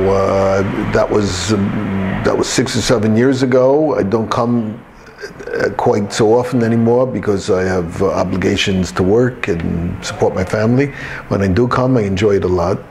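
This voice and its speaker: A middle-aged man with a gravelly, lowish voice gives an informal student testimonial. He speaks haltingly, stopping mid-thought, with noticeable ambient noise behind him. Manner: halting, pausing mid-sentence. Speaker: yeshiva student giving a testimonial.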